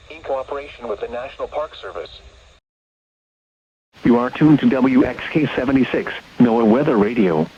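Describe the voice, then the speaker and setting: A man reading scripted weather radio station identifications in a formal, measured way, like a public service announcer. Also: mid-range voice. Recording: muffled, noisy weather radio broadcast.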